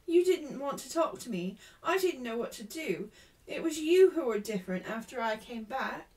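A shy young schoolgirl in a storybook as a woman reader plays her. She speaks high and earnestly, stumbling over words and pleading tearfully. She is affectionate and awkward. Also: animated, normal speed, darkish tone, far from the mic. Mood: hurt, indignant. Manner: stammering, emphatic, pleading.

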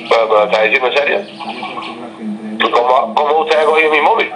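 A man's voice: fairly high pitch, fast, a crowd in the background. A man answering an unexpected phone call in conversation, puzzled, repeating himself and asking questions. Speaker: prank call recipient.

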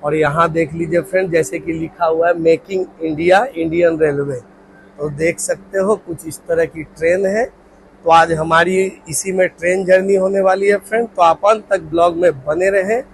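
A chatty man in his thirties walks viewers through a train, talking to them as friends with a slightly higher voice. His phone mic, held at arm's length, picks up a faint hum of station and carriage sound. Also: steady tone, moderate pace, vocal fry, poor audio. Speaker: travel vlogger. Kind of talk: casual travel vlog tour. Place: train platform and train coach interior.

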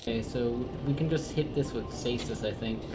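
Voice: mid-range voice, moderate pace, loud music behind the voice. Someone chatting casually and jokingly while co-hosting a video game playthrough. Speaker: gaming video co-host playing the game. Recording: echoey room with noticeable background noise.

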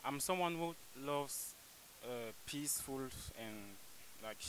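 A man's voice: medium pitch, takes it slowly, fairly bright tone, slight background noise, poor audio. Hesitant young man from Cameroon. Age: young adult. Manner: hesitant, repeats words while searching for them. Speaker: interviewee, foreign student. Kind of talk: casual street interview answers. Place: outdoors on a campus walkway. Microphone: handheld microphone held by interviewer.

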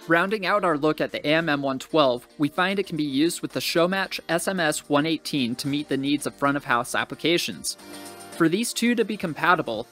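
A man reading scripted instructional narration for a training video in a measured, clearly enunciated, formal way. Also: on the high side, a bit bright. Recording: music bed under voice-over. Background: background music.

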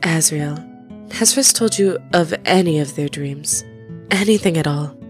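Low-voiced gentle goat mother, acted by a woman. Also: a little slow, soft background music. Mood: gentle, concerned. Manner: formal, tender, soothing. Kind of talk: animated fan comic dub.

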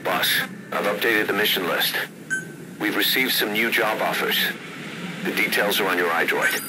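Gruff military second-in-command, low register, grave and clipped, radio with clear background noise, game cutscene. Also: male voice, normal speed. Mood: concerned, businesslike.

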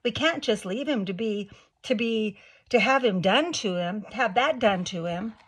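A woman voicing an eager, impulsive schoolboy whose speech rushes and stumbles. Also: mid-range voice, lively intonation, breathy edge.

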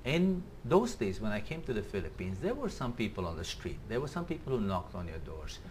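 Low-voiced Indian man hosting a talk show. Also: sing-song, a little fast, darkish tone, slight background noise. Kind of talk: conversational TV interviewing. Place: small TV studio set. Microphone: lapel mic.

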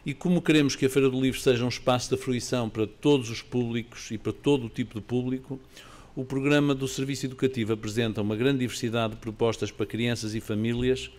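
A man's voice: mid-range voice, darkish tone. Formal man in his forties giving a speech. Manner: formal, reading from notes. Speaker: city official. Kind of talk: prepared speech at a press event. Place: outdoor park, under trees. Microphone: podium microphone.

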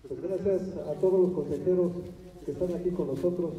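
A man's voice: sits high, steady tone, brisk, highs cut off. A man giving formal thanks at an outdoor ceremony as a local official. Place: open courtyard outdoors. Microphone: far camera, no close mic. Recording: echoey distant outdoor recording with noise.

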